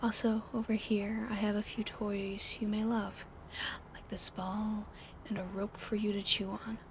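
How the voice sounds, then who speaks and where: A woman speaking in a soft, coddling ASMR whisper, gentle and soothing. Her voice has a gravelly, fried texture at a middle pitch, and a steady fan hum sits clearly behind her. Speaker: ASMR roleplay narrator. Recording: audible fan hum in background.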